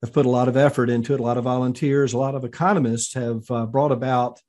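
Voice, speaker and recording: A man hosts a talk podcast at a middle pitch. He asks loose, meandering questions packed with "uh"s and repeated words, circling before he gets to the point. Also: steady tone, quick pace, darkish tone. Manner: rambling, filler-heavy questions with many restarts. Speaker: podcast host. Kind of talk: casual interview hosting.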